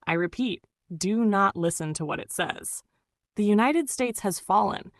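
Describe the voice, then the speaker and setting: A woman's voice: mid-range voice, no room sound, clean studio sound. A woman reading a scripted emergency alert, giving urgent, repetitive warnings. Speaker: emergency broadcaster.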